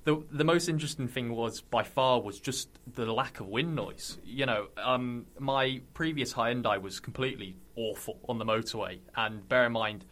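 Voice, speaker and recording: A man's voice: mid-range voice, normal speed, few pauses, fairly bright tone. A man from Somerset, a podcast guest chatting casually with frequent ums and laughs. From Somerset, England. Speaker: guest, YouTuber.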